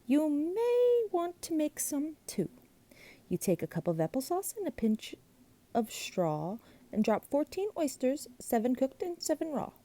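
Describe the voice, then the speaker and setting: A woman's voice: sits high, wildly swooping pitch, measured pace, warm tone, pitched above the performer's own voice, exaggerated voice. A woman voicing a greenish male creature from a storybook, a pushy chatterbox who talks on and on in a bossy singsong. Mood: jabbering.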